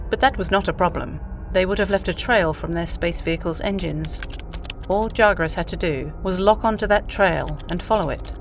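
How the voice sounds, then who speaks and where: A woman reading scripted story narration for an audio play. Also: on the low side. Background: music.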